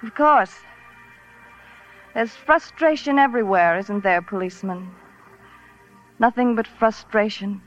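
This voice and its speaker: An actress playing a sultry, teasing femme fatale professor in 1940s radio noir, languid and insinuating. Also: female voice, medium pitch, unhurried, long pauses, close to an everyday voice. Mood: seductive, needling. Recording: muffled old radio recording with music.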